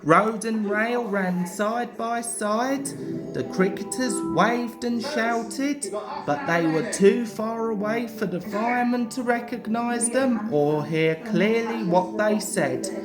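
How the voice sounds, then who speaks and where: A woman reading a children's story as an expressive narrator. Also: on the high side, takes it slowly. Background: music.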